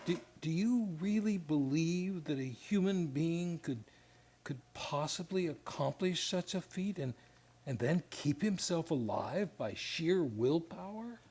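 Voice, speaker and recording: A man's voice: fairly high pitch, animated, a little slow, a little mellow, breathy edge. An actor playing a hesitant, incredulous man in an old-time radio play. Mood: incredulous doubt. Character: hesitant, skeptical man questioning an uncanny tale.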